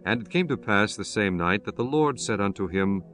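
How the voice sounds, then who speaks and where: A man narrating dramatized scripture as an audiobook, in a solemn, measured storytelling manner. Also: on the low side, animated, a bit bright, faint music behind.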